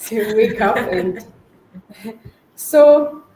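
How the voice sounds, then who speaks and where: A woman's voice: fairly high pitch, lively intonation, drawn-out words. A woman speaking as a webinar guest, friendly, explaining things with everyday examples. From Ghana. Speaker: guest panelist, customer experience associate. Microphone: online call mic. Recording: echoey room, faint noise.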